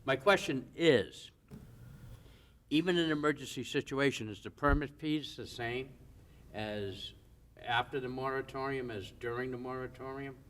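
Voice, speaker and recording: A man serving as an alderman, asking questions at a city board meeting in a loud, chatty, booming voice. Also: normal speed, distant mic, a little hiss or hum.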